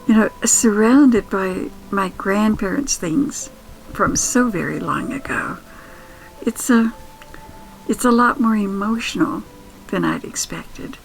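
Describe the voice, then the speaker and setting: Slow, creaky older woman storyteller. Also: mid-range voice, poor audio. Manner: wistful, sentimental, with soft exclamations and musing pauses. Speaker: podcast host and storyteller. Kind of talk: intimate first-person nostalgic storytelling.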